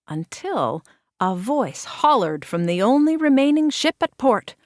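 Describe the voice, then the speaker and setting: A woman reading a children's picture book aloud in an expressive, measured narration with deliberate dramatic pauses. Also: a little high, no echo, clean studio sound. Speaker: children's storybook reader.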